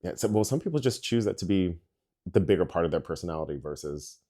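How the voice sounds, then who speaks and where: A young man from the United States hosts a relaxed podcast conversation. His voice is low and easy. He asks open questions and backs his guest up with short, ready replies. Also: fast. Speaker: podcast host. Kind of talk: casual interview chat.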